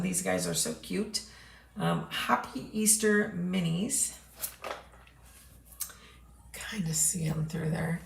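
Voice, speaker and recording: Woman showing off craft supplies, losing her voice, gravelly, echoey room w/ noise behind. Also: medium pitch. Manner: chatty, unhurried, clears throat often. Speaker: craft product host. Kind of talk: casual product showcase livestream.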